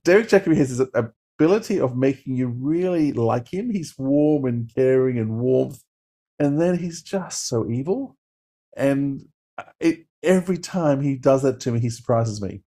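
Mellow middle-aged man chatting enthusiastically. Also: medium pitch. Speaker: podcast co-host reviewing audio dramas. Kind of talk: casual review chat. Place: home room, on a video call. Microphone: video call.

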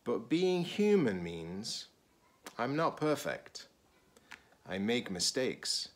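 A middle-aged man, a teacher-librarian, reading a children's picture book aloud deliberately, in short phrases with pauses. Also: medium pitch, sing-song, slight background noise.

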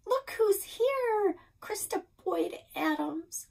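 A woman's voice: very high voice, animated, slow, gravelly, a little mellow, pitched above the performer's own voice, exaggerated voice. A woman voicing a middle-aged small-town bait shop owner, wry and hearty, in a folksy, matter-of-fact manner. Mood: delighted.

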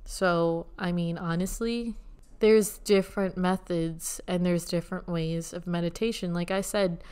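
A woman hosting her own podcast in casual solo talk, with a soothing voice, gently guiding listeners through a short meditation. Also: fairly low pitch, fairly even intonation, a little slow, clear, resonant tone. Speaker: podcast host. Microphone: USB studio microphone.

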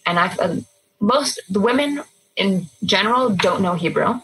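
A woman's voice: medium pitch, animated, a bit bright, husky, low-quality recording. A woman in her thirties, an emergency nurse, talking casually. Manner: chatty, quick asides with fillers like "like". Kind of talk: casual interview chat.

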